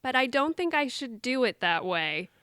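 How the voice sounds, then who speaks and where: Woman acting anxious younger sister, bright clear voice. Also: a little high, very animated, normal speed, no echo, clean studio sound. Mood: wry, affectionate. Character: anxious, self-doubting younger sister come home to family. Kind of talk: audio drama dialogue.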